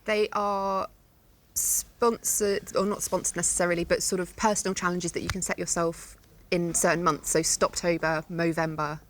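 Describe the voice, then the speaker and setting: A woman's voice: lowish voice, little pitch movement, normal speed, slight background noise. A woman answering and conferring as a contestant on a studio quiz show, somewhat tentatively. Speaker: quiz contestant, team spokesperson. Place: television studio.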